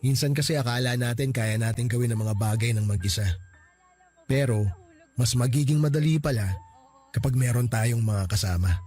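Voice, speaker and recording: A man playing a gentle, reassuring male friend. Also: mid-range voice, normal speed, natural voice. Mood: comforting. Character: supportive joking male friend of the grieving man. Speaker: close friend. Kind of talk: radio drama acting. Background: soft music.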